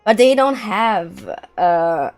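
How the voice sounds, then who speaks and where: A woman comments casually on a live game stream. She speaks slowly in a mid-range voice, and game music plays softly behind her. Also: mid-range voice, lively intonation. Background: video game music.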